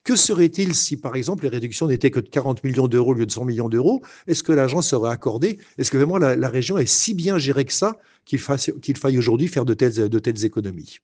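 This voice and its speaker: A man argues his case in a political debate, piling up rhetorical questions. His voice is mellow and warm, at a middle pitch. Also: lively intonation, fast talker, hardly any pauses.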